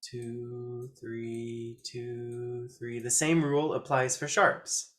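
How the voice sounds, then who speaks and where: Slow-paced man teaching piano step by step. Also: mid-range voice, flat delivery, fairly bright tone. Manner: deliberate, counting out notes and fingerings in short phrases. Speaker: piano teacher, host of video lessons. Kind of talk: step-by-step instructional demonstration. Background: piano notes.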